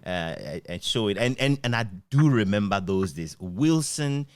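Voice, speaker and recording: A Ghanaian man in a reminiscing conversation, speaking in a reflective, halting way. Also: on the low side, expressive, clear, resonant tone, no echo.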